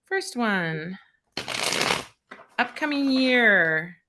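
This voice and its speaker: A woman's voice: a little high, animated, very slow, noisy background. A woman hosting a live-stream tarot reading, chatty and encouraging, thinking aloud as she handles the stream.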